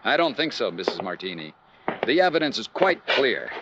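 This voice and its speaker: An actor playing a confident insurance investigator and wry narrator in old-time radio detective style, polite but firm. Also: male voice, mid-range voice, sing-song, normal speed, lo-fi sound, heavy background noise.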